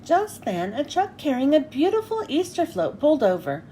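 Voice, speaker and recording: Lively high-voiced woman reading aloud. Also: normal speed, a little hiss or hum. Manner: animated, expressive. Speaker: children's book reader. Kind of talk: reading a picture book aloud.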